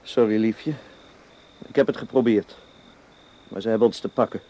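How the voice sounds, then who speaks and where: An actor playing a tense, hard-edged secret agent in a radio drama, talking tersely and urgently. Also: male voice, fairly low pitch, moderate pace, dark tone, close to an everyday voice. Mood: resigned. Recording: faint background noise.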